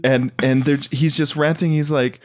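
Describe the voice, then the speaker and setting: A man chatting casually as a podcast host, rambling through comedy sketch pitches and acting out bits as he explains. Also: steady tone, moderate pace. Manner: rambling, acts out lines as he explains.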